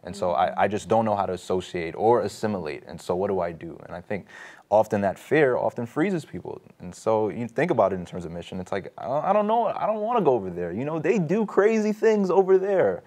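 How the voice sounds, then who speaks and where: A man's voice: fairly low pitch, very animated, a little fast, a little mellow. A man in his thirties from New York, talking casually as a panelist in a studio, on a lapel mic. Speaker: panelist on a religious study show.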